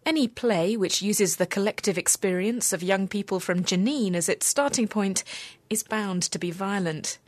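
A woman reporter reading a scripted radio news feature in a measured, even way. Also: medium pitch, dry recording.

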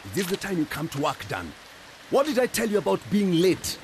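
A man's voice: sits high, huge pitch swings, a little fast, a little mellow, a little hiss or hum, exaggerated voice. An actor playing a harsh boss who scolds his workers and fires one on the spot. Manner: scolding and domineering. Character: harsh bullying male boss. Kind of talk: radio drama acting.

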